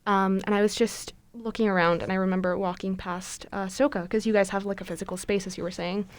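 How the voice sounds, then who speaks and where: A woman's voice: mid-range voice, fairly even intonation, quick pace, clean tone, dry recording. A young woman co-hosting a podcast, chatty and upbeat with frequent fillers. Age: young adult. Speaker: podcast co-host. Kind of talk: casual podcast interview.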